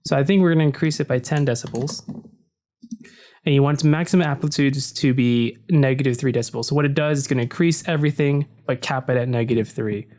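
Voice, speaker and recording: A deep-voiced man talks through an audio-editing walkthrough in an easygoing, conversational way. He records at his desk in an untreated home room. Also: fast. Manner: relaxed and explanatory, with frequent "so" and "uh". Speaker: tutorial narrator. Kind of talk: casual screen-recording walkthrough. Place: home room without soundproofing. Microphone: desk mic facing the computer screen.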